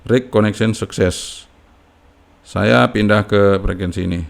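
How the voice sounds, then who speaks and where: A man's voice: on the low side, fairly even intonation, unhurried, gravelly. A man giving a casual, unscripted step-by-step tutorial as a hobbyist, in an informal manner with frequent checking tags.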